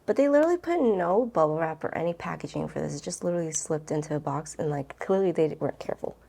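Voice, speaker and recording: A woman's voice: sits low, lively intonation, fast talker, creaky, warm tone. A woman book vlogger chatting casually and rambling to camera, with an informal, gushing and emphatic way of talking.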